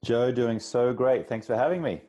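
A man's voice: medium pitch, moderate pace, warm tone. A man in his forties from Australia with an Australian accent, telling his story casually as a podcast guest. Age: 40s. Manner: chatty, informal.

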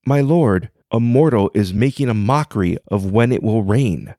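Guy putting on a cocky dragon king, storybook style, pitched up and loud, haughty. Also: animated, normal speed, no echo, clean studio sound, close to an everyday voice. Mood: pleading. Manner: haughty and indignant. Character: arrogant proud male river dragon king.

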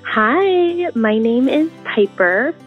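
A woman in her thirties from Indonesia, telling her love story in a casual, reflective way with many ums and pauses. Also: high voice, expressive, soft background music. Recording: tinny phone-like audio.

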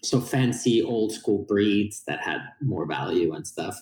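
A man with a Finnish accent and a lowish voice talks earnestly about his work, pausing on fillers as he explains. He is in his forties. The room around him has a clear echo. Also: expressive, a little mellow. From Finland. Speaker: guest, company founder. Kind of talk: casual interview talk.

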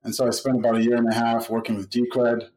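A middle-aged man from Nigeria answering interview questions in a relaxed, measured way with frequent pauses. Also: medium pitch, poor audio. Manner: relaxed, measured, many ums. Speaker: podcast guest.

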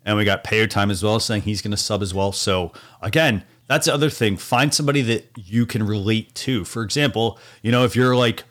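A man's voice: lowish voice, brisk, few pauses, fairly bright tone. A man hosting a podcast, giving advice in a casual, filler-heavy way. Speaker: podcast host.

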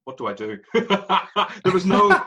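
A man in his forties with an Irish accent, a guest telling his story in an animated way and laughing through it. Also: high voice, slow, husky. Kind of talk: candid interview storytelling.

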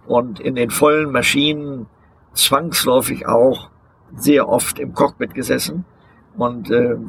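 An older man answers questions outdoors, his gravelly voice halting and deliberate, full of hesitations as he searches for words. A faint outdoor hum runs underneath. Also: medium pitch, a bit bright, poor audio. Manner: deliberate, hesitant, many filler sounds. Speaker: interviewee, long-term overland traveler. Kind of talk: outdoor interview. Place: outdoors beside a vehicle museum.

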